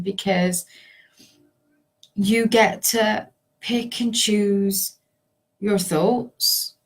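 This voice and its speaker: A woman with a sore, hoarse voice, a life coach chatting casually and rambling with many ums and little laughs. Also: medium pitch. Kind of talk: casual talk to camera.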